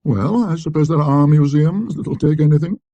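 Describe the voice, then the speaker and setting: A man voicing a haughty, fussy gentleman collector with a clipped, ironic, bossy manner, in a storybook reading. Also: a little high, moderate pace, no room sound, highs cut off, pitched above the performer's own voice, close to an everyday voice. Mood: ironic, haggling.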